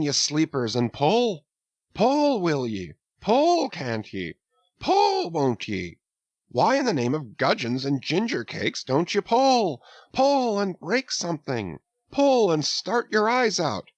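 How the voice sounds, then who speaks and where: A drawling, wheedling whaleboat mate, as a male reader puts him on, soothing his crew one moment and yelping high commands the next. His pitch leaps all over the place, and the sound is close and dry. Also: huge pitch swings, fairly quick, gravelly, hardly any pauses, slightly rough, dry recording, heavily acted. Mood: coaxing, rowdy. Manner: drawling, soothing, then bursting into shouts. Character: second mate, man, coaxing and cajoling his rowers.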